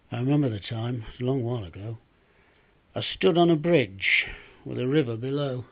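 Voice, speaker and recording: A humble, wistful old soul who once slept rough tells his tale in rhyme as an elderly man acts it out. His voice is gravelly and creaky, plaintive and tender, measured out with dramatic pauses. The home recording sounds dull and lo-fi. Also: mid-range voice. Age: 70s or older. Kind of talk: dramatic recitation of a rhyming monologue.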